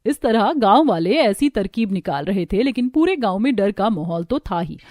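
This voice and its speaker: A woman storyteller reading scripted narration for an animated tale. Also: mid-range voice, moderate pace, faint music behind.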